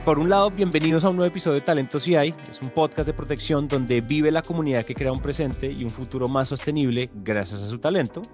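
A man co-hosting a podcast, chatting in a relaxed, rambling way. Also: on the high side, fast talker, few pauses, faint music behind. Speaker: podcast co-host. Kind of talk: casual podcast chat.

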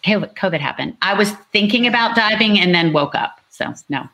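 A woman novelist talking conversationally at a book launch, explaining in an animated way with repeated emphasis. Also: medium pitch, moderate pace. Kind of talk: lively conversational book talk.

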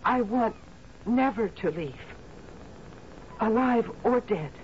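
An actress playing a devoted, sorrowful housekeeper in a 1970s radio drama, earnest and imploring. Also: female voice, a little high, animated, takes it slowly. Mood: pleading, intense. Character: devoted, sorrowful woman housekeeper, intense and pleading. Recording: muffled, hissy old radio broadcast.

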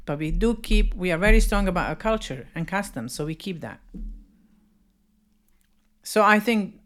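A woman's voice: lowish voice, animated, moderate pace, fairly bright tone. A woman from Bosnia co-hosting a casual podcast chat, speaking directly in plain phrasing with small grammar slips. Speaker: podcast co-host.

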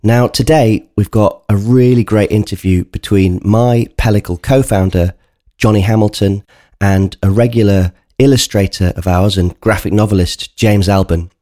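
A man's voice: fairly low pitch, fairly even intonation, normal speed, warm tone, no echo, studio quality. Congested man hosting a podcast. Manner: chatty, earnest, direct to listeners. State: congested with a cold, bunged up. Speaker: podcast host. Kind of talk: casual spoken podcast introduction.

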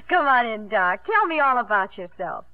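An actress playing a poised, spirited young stage star in a 1950s radio drama, on a tinny broadcast recording. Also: female voice, fairly high pitch, very animated, a little slow. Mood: warm, concerned.

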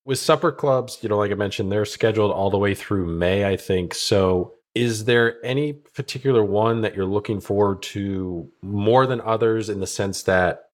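A man's voice: lowish voice, normal speed, hardly any pauses. A man hosting a podcast, asking long, rambling questions full of "you know"s in a casual way. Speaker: podcast host.

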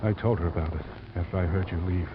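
An actor as a deep-voiced weary old father, muffled. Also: fairly even intonation, quick pace, lightly acted. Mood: weary confession. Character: weary ageing father, protective of his grown daughter, sombre. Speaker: supporting actor in a radio drama. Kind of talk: 1940s-50s American radio acting. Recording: very muffled, noisy vintage radio recording.

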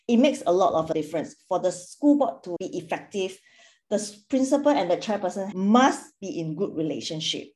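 A middle-aged woman from Singapore, a school board chairperson answering interview questions earnestly. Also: fairly low pitch. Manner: earnest, animated, acts out quoted remarks.

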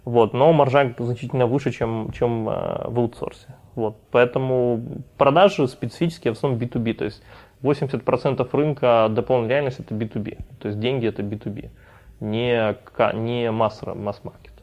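A man's voice: mid-range voice, steady tone, a little fast, darkish tone. A man, a company founder, talking casually in a podcast interview and rambling with frequent restarts. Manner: rambling, many restarts and fillers. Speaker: company founder and CEO guest.

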